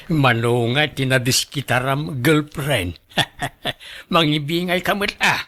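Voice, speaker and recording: A man's voice: mid-range voice, takes it slowly, pushed and bright. A man with a Filipino accent playing a flirty, teasing gambling buddy in an Ilocano radio drama.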